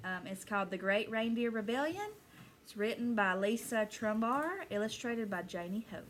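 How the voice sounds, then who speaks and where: A woman with a high, bright voice reads a picture book aloud to children. Her delivery swings widely in pitch and she often turns to ask her listeners questions. There is some noise in the background. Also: normal speed. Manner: animated, asks listeners questions. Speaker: elementary school teacher reading aloud. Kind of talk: storybook read-aloud to children.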